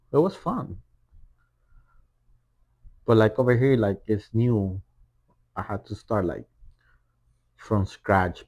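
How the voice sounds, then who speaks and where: A man from El Salvador with a thick Salvadoran accent, co-hosting a casual podcast chat in a playful, joking way, halting and restarting as he talks. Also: on the low side, darkish tone. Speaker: podcast co-host.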